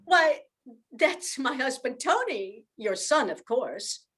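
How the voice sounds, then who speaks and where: A woman's voice: high voice, very animated, takes it slowly, fairly bright tone, husky, full character voice. A woman playing an earnest young widowed mother in an amateur radio play, with heartfelt, theatrical delivery. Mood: defensive.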